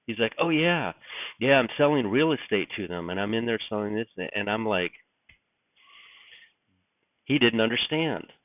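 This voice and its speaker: Man, raspy morning voice, rambling reflection, phone-like sound, dry. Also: mid-range voice, dry recording. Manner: rambling, musing, frequent restarts and fillers. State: raspy from just waking up. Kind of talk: casual spoken reflection.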